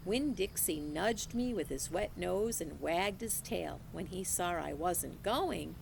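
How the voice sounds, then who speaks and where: A woman teacher reading a children's novel aloud in a slow, expressive storytelling manner. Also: on the low side, gravelly, background noise.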